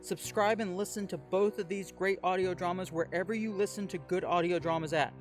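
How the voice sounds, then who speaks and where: A man's voice: high voice, fairly even intonation, a little fast. A man reading a scripted podcast outro as an announcer, in a promotional narration style. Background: music.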